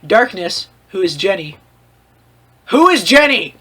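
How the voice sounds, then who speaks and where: A man's voice: high voice, big pitch swings, a little slow, sharp tone. A man reading a story aloud in a casual comedic way, mock-dramatic and often breaking off to riff. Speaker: host reading fan fiction aloud with commentary.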